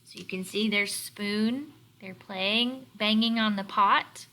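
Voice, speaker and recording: A woman teacher leading a read-aloud lesson for young children, explaining words in a deliberate, instructional way. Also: mid-range voice, sharp tone. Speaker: elementary teacher.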